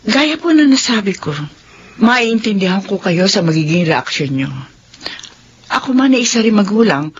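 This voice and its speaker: A woman's voice: fairly low pitch, muffled recording, slight background noise. A woman playing an earnest, dignified middle-aged school principal and mother in a radio drama, speaking politely and with measure.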